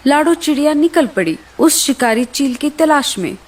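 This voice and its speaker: A woman's voice: sits high, animated, moderate pace, background noise. A woman reading scripted narration for a children's animal story as the storyteller.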